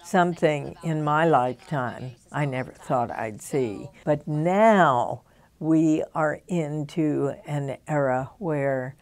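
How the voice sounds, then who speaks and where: Older woman, documentary interview subject, very low voice, unhurried and deliberate. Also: a little mellow. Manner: measured, deliberate, with pauses. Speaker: media expert interviewee.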